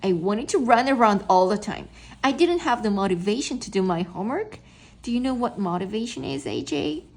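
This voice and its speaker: Woman as kindly male principal, storybook character, husky, animated pitch, patient, chatty, easygoing. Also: brisk. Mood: frank, confiding.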